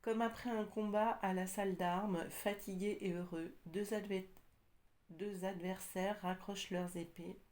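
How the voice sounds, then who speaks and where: A woman with a slight cold doing a casual tarot reading, talking hesitantly with many pauses and fillers. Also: medium pitch, fairly even intonation, darkish tone. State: has a cold, slightly congested. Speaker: tarot card reader.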